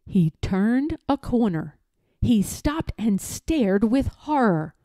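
A woman reading a children's story aloud in a deliberate, expressive, dramatic style. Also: a little high, no echo. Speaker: storybook reader.

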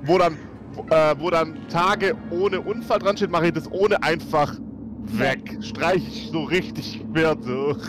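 A man's voice: on the high side, takes it slowly. A man playing in a tabletop role-playing session over a group video call, talking casually and in character. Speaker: tabletop role-play player. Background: music.

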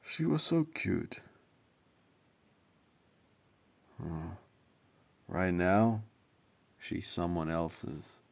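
A gloomy but kindly young man in a manga dub, acted by a man, with a low, gravelly voice. He is heartbroken and easily startled, dramatic and brooding in his delivery, and his pitch swings widely. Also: very animated, very slow, heavily acted.

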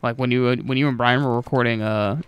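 Fast-talking young man chatting over gameplay. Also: medium pitch, fairly even intonation, muffled recording, a little hiss or hum. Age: young adult. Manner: informal, swearing, slangy. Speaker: gaming video host. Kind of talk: casual chat over gameplay.

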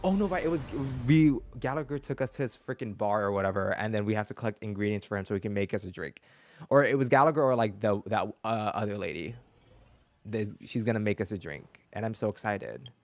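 Low-voiced young man rambling on a livestream. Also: brisk, no room sound. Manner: rambling, self-interrupting. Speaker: game streamer. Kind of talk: casual livestream chat. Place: small room indoors. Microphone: desk mic close to the mouth. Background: game music.

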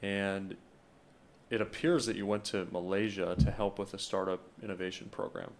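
A man's voice: low voice, flat delivery, normal speed. A man in his thirties hosting a podcast, asking interview questions in a friendly, eager way with frequent word repeats, at a desk mic in a small studio. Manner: friendly, enthusiastic, repeats words. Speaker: podcast host. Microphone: desk podcast microphone.

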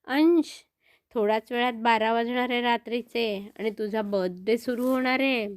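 A woman's voice: fairly high pitch, moderate pace, no room sound, clean studio sound, natural voice. A woman voicing a friendly, eager male flying horse who reassures and invites a child in a children's story. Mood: friendly, inviting.

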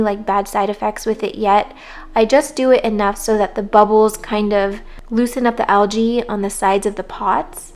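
Hoarse woman giving plant care tips. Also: medium pitch. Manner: relaxed, rambling with fillers. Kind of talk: casual voice-over talk.

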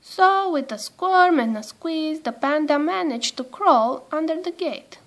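Female storybook reader, high voice, slow careful delivery, expressive telling for young children. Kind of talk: read-aloud children's storytelling.

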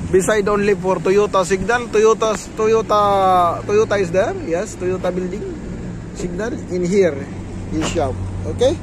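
A man in his forties with a high voice films a casual vlog outdoors on a street, talking in broken English and repeating himself. Traffic and street noise are clearly heard behind him. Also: fairly even intonation, a little slow. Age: 40s. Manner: chatty, repeats words, broken English with many fillers. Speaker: vlogger. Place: city sidewalk outdoors.

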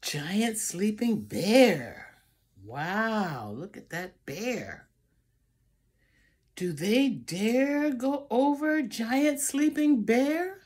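A woman's voice: on the high side, very animated, extremely slow, a little mellow, husky. An older woman reading a picture book aloud to children, theatrically drawing out phrases and adding asides to the listeners. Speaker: storybook reader. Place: small room at home, indoors.

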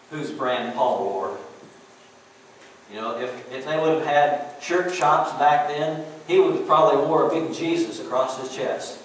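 A middle-aged man preaching a sermon in a church sanctuary, in a folksy, conversational way with frequent "you know"s. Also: medium pitch. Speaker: pastor. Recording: very echoey church room, distant mic, faint hiss.

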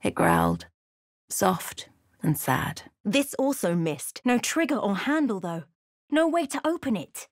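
An actress playing a thoughtful adventurer in a video game, with a measured, dramatic storytelling delivery. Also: female voice, mid-range voice, very animated, no room sound, clean studio sound. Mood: measured narration. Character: thoughtful adventurer woman recounting her journey. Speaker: voice actor for a player character. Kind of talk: scripted game narration and character lines.

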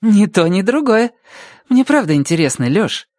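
A woman's voice: medium pitch, big pitch swings, moderate pace, darkish tone, dry recording, clean studio sound, voice pushed up, close to an everyday voice. A woman voicing a spirited, stubborn wife who teases her husband in a playful, indignant way. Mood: teasing.

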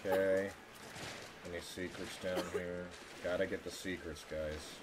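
A man's voice: low voice, little pitch movement, unhurried. A man streaming a game with casual, playful, dryly joking live commentary. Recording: game sound and noise behind voice. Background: video game ambience.

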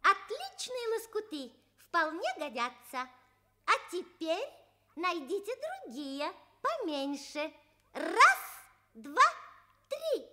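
A woman playing a kindly, commanding magic sewing needle in a children's radio play, speaking deliberately like a fairy. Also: extremely high pitch, big pitch swings, bright, forceful tone, exaggerated voice. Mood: instructing.

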